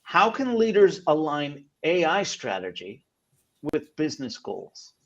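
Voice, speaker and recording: A man hosting a business podcast, introducing the guests and asking questions in an upbeat, brisk way. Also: on the high side, big pitch swings, dull audio. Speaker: podcast host.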